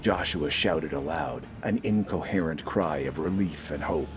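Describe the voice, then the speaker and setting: A man's voice: extremely low pitch, unhurried, music in the background. A man narrating scripted fiction in a dramatic storytelling style.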